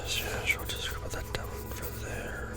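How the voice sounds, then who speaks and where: A man playing a casually menacing secret-society recruiter in an ASMR roleplay, with a breathy, mostly whispered, gravelly voice and sardonic, conspiratorial patter, close on the microphone. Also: normal speed, lightly acted. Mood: hushed, offhand. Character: casually menacing Illuminati recruiter onboarding a new initiate.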